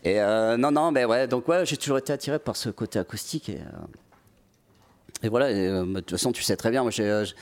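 A man in his forties from the Paris region, chatting casually as an interview guest, full of restarts and fillers and quick to laugh. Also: medium pitch, smooth. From Paris region, France. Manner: hesitant, many restarts and fillers, laughs often. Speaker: metal singer, guest.

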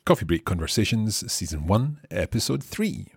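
A man's voice: on the low side, very animated, moderate pace, creaky. A man hosting a podcast, reading a scripted intro and then chatting with a guest in a friendly way, with frequent chuckles. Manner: friendly, chuckles often, some hesitant fillers. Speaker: podcast host and interviewer. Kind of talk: scripted intro then relaxed interview chat.

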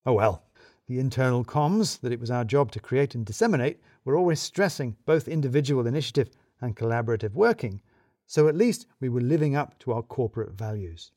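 A man's voice: mid-range voice, animated, a little fast, mellow, no room sound, clean studio sound. A man narrating a scripted first-person mystery story in a wry, arch, wordy storyteller's manner.

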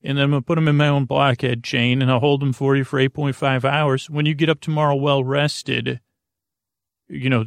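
Male sleep-podcast host, gravelly, meandering and halting, dry close sound. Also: no room sound. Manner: meandering, halting, lots of fillers and restarts; low-key, drowsy delivery. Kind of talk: rambling sleep podcast intro.

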